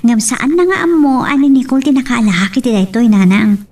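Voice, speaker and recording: A woman's voice: a little high, fairly quick, darkish tone, far from the mic, close to an everyday voice. A woman playing an earnest, friendly single mother talking in an Ilocano drama, with an Ilocano accent. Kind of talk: Ilocano radio-style serial drama acting.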